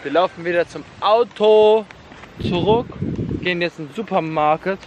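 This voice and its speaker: A man's voice: sits high, moderate pace, lo-fi sound. A young man chatting casually in a travel vlog, in slangy running commentary, filmed with a handheld camera outdoors and in a car. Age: young adult. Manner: loose slangy chatter, narrating on the move. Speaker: vlogger. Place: outdoors and in a car on a road trip. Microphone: handheld camera at arm's length. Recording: loud wind and traffic noise outdoors.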